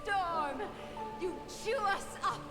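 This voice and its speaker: A woman's voice: extremely high pitch, expressive, full character voice. An actress playing a sorrowful, devout desert mother in a game, praying for her child in solemn, poetic phrases. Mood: bitter lament. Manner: solemn, slow, poetic prayer. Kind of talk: dramatic game voice acting. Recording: echoey, with loud music behind.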